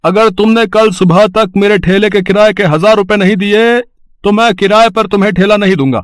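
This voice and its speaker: A woman's voice: mid-range voice, fairly even intonation, moderate pace, no echo, clean studio sound, lightly acted. A woman voicing a stern male cart renter who talks bluntly about money, with hesitant fillers. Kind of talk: cartoon voice acting.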